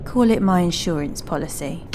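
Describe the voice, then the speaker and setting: A woman's voice: on the low side, animated, normal speed, a little mellow, a little hiss or hum, natural voice. A woman playing a cocky, teasing thief for hire in a fantasy audio drama, self-assured in her delivery. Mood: taunting, wary.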